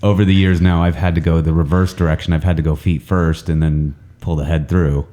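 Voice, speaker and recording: Podcast host, male, very low and dark, congested from a cold, rambling and self-mocking. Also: level tone, fairly quick. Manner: rambling, self-mocking, many ums and uhs. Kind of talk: casual podcast chat. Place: indoor training center room, several people around a table.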